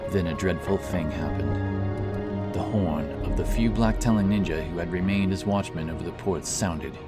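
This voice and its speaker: A very low-voiced man narrating a fantasy audiobook with steady, dramatic delivery. Loud music runs underneath his voice. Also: normal speed.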